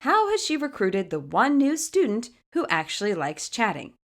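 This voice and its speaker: A woman reading fan fiction aloud as narrator, in an expressive scripted storytelling style. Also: on the high side, moderate pace.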